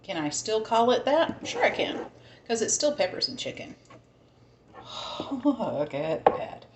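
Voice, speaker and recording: A woman's voice: fairly high pitch, sing-song, takes it slowly, background noise. Chatty Southern woman cooking in an echoey kitchen. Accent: American Southern. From American South. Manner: chatty, thinks aloud, talks to the food. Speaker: home cook. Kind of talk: casual cooking vlog chat. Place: home kitchen.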